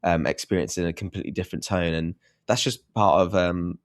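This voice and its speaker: A man's voice: deep voice, fairly even intonation, brisk, no echo, studio quality. A young man, an author being interviewed, with a nasal, congested voice; thoughtful and self-deprecating, laughing often. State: congested from hay fever. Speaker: guest author. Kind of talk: casual interview chat.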